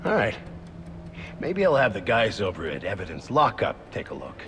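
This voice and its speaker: An actor playing a tough cop in a video game, leaning on a suspect with casual, taunting threats. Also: male voice, lowish voice, wildly swooping pitch, moderate pace, a bit bright, slight background noise, heavily acted. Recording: long reverb, music behind.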